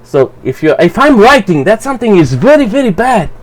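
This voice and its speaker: A man whose first language is Romanian, giving a blunt, profane, halting commentary for his channel. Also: high-pitched, very animated. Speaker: vlogger. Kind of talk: rambling personal commentary.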